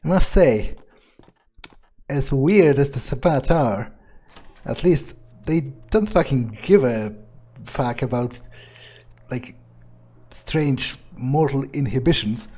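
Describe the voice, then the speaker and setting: A man roleplaying a cynical, amused, bloodthirsty vampire in a video game, husky, musing and sardonic in an improvised way. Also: mid-range voice, takes it slowly, long pauses, mellow. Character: cynical, bloodthirsty, amused young male vampire, foul-mouthed.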